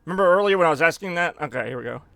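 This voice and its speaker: A man's voice: fairly high pitch, animated, rapid-fire, creaky, a bit bright, no echo. A man giving rambling, self-mocking live gameplay commentary as a streamer, now and then dropping in German phrases. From United States. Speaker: gaming streamer.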